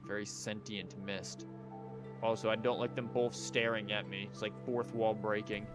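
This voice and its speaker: A man's voice: lowish voice, flat delivery, normal speed. A man giving casual, offhand reaction commentary as a game streamer, with a wry manner. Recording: game music behind the voice.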